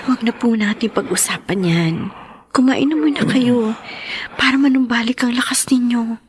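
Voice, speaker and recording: A woman's voice: a little high, normal speed, a little hiss or hum, close to an everyday voice. A woman playing an anguished, guilt-ridden young daughter in a Filipino radio drama, with a Filipino accent and an overwrought delivery. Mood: subdued, caring. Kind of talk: Tagalog radio drama acting.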